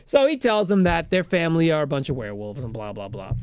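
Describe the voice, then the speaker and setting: A man hosting a podcast, telling a story in a halting, animated, rambling comic recap. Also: a little high, clean tone, no room sound. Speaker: podcast host.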